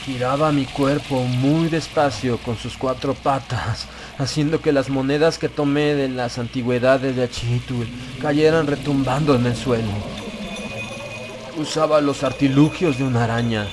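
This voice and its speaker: A man narrating a horror story in a slow, deliberate, grave and dramatic way, as for an audiobook. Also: medium pitch, steady tone, noisy background.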